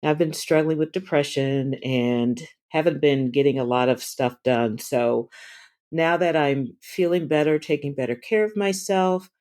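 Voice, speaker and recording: A woman hosting a podcast, speaking in an earnest, reflective and gently enthusiastic way. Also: deep voice, a little slow. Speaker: podcast host. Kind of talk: conversational podcast interview.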